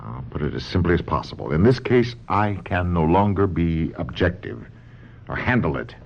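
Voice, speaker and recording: Radio-play psychoanalyst: very low, expressive voice, grave and hesitant, on a muffled recording. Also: male voice, moderate pace, gravelly, full character voice. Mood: troubled, resigned. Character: conflicted psychoanalyst, grave, patient and lovelorn. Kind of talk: radio drama acting. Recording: muffled lo-fi radio drama, faint noise.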